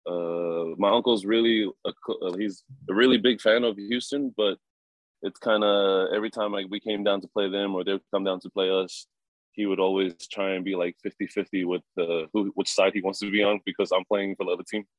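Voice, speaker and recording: A young man in his twenties, a rugby player answering interview questions in a relaxed, halting way with many fillers and chuckles. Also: deep voice, no echo, rough recording. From New Zealand. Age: 20s.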